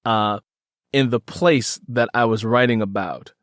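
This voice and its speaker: A male host and storyteller with a medium-pitched voice. He is recorded close, with very little room sound. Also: measured pace, a bit bright, no echo. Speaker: podcast host and author. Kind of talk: conversational interview and scripted audio drama narration.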